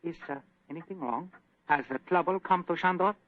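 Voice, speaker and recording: An actor playing a polite but evasive foreign actor who hesitates often, in an old radio play with a tinny sound. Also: male voice, on the high side, lightly acted. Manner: hesitant, halting with many uhs. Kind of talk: 1940s radio acting.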